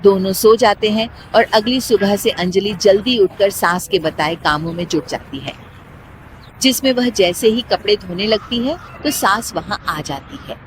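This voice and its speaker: A woman's voice: medium pitch, moderate pace, slight background noise, poor audio. A woman reading scripted narration for a cartoon moral story as its storyteller.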